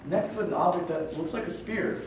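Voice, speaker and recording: A man's voice: high voice, brisk, slight background noise, close to an everyday voice. A man in a staged reading playing a careful, analytical young archaeologist who leads a team. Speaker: actor. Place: echoey room at a staged reading. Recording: very echoey room, distant mic.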